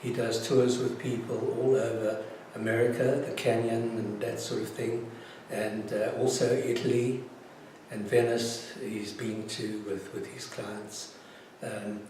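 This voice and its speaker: A man's voice: medium pitch, level tone, a little slow, darkish tone. A man giving an informal spoken introduction as a presenter in an art gallery. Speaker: presenter introducing an exhibiting photographer. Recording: very echoey, distant, noisy room.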